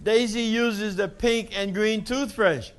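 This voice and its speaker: Man playing a high, bossy know-it-all sister. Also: steady tone, slow, clear, resonant tone. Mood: smug, certain. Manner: smug, emphatic. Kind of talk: animated picture-book read-aloud.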